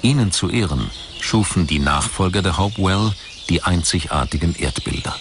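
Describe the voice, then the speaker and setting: A man reading scripted documentary narration in a measured, articulate manner. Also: low-pitched, lively intonation, noisy background.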